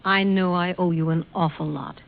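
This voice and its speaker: An actress playing an emotional, high-strung young woman of the theatre in a 1940s radio drama. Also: steady tone, normal speed, gravelly. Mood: earnest, apologetic. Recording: dull vintage radio broadcast recording.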